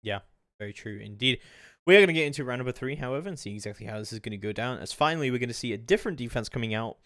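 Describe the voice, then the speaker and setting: A man's voice: mid-range voice, rapid-fire, dry recording, studio quality. A man giving live play-by-play commentary on a video game as an esports caster, talking analytically. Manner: analytical, hedging, swells into excited calls.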